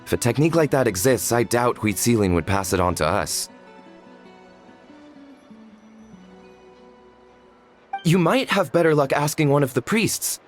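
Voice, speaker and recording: A man's voice: medium pitch, big pitch swings, fairly quick, soft background music, natural voice. An actor playing a modest, earnest tribesman in a video game, polite and a little hesitant. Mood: unsure. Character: modest, earnest tribesman proud of family stories.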